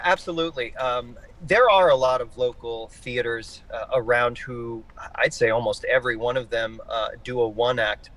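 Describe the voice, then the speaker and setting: A man's voice: medium pitch, fairly bright tone, low-quality recording. A man giving enthusiastic, explanatory answers as a guest in a live interview. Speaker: interview guest, theater artistic director.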